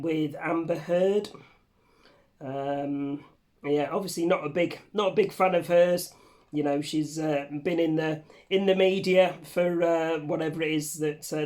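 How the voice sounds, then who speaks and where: A man talks to camera in a raised, croaky voice, hoarse and worn from a cold. He chats in a loose, rambling way and swears casually. He is recorded indoors at home under a ring light. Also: a little high, darkish tone. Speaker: channel host. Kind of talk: casual vlog chat. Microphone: facing camera under a ring light.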